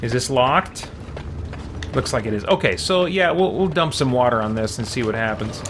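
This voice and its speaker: A man's voice: mid-range voice, lively intonation, normal speed, noisy background. A man livestreaming a game in a casual way, musing aloud as he chats and reads game text. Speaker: game streamer.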